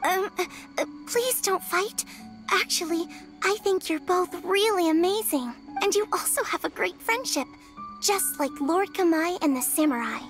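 A woman's voice: very high-pitched, moderate pace, faint music behind. A woman voicing a timid, sweet little yokai girl in a video game, hesitant, shy and apologetic. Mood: timid pleading.

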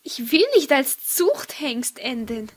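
Woman as questioning adventurer, defiant, high pitch, fantasy audio play. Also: expressive, takes it slowly, exaggerated voice. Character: defiant female adventurer challenging her captors. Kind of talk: German fantasy audio drama acting.

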